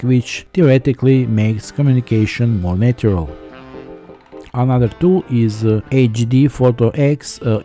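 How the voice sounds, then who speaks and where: A man reads a scripted tech review in a very dark, soft-edged voice at a medium pitch. Faint music plays behind his narration.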